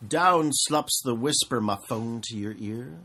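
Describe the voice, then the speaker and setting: An adult reading a children's storybook aloud in an expressive, dramatic way, stretching out words for effect. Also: mid-range voice, takes it slowly.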